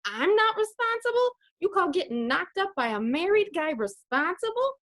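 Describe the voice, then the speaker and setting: A woman playing a soft-hearted, blunt, overgrown kid of a man in a comedic radio play. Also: very high voice, big pitch swings, moderate pace, pitched above the performer's own voice, full character voice. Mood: hurt, angry.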